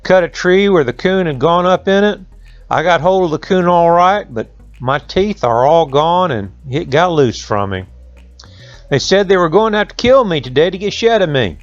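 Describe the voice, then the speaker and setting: A man's voice: a little high, animated, moderate pace, no room sound, muffled recording, faint music behind, pitched above the performer's own voice, close to an everyday voice. Man as a forlorn old farm animal. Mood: forlorn, complaining. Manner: folksy complaining.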